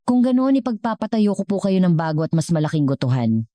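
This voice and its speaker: A woman's voice: fairly low pitch, big pitch swings, brisk, mellow, dry recording, studio quality, voice pushed up. A woman with a Filipino accent voicing a wealthy, commanding elderly grandfather, solemn and emphatic, in a dramatic story. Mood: grateful, insistent.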